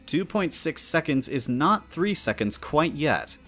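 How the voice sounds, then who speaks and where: A man's voice: a little high, big pitch swings, measured pace, fairly bright tone, exaggerated voice. A man voicing a nerdy, unflustered pony scientist in a matter-of-fact, instructive way for a scripted educational dialogue. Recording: music behind the voice.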